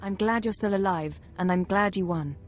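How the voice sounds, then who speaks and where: Woman as earnest intelligence operative, medium pitch. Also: moderate pace, faint music behind, natural voice. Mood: relieved. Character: earnest female intelligence operative, loyal and relieved. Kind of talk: scripted video game dialogue.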